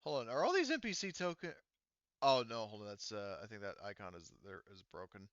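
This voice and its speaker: A man's voice: fairly low pitch, big pitch swings, brisk, a bit bright, no room sound, muffled recording. A man casually narrating a tabletop game as game master, thinking aloud through the rules in an informal way.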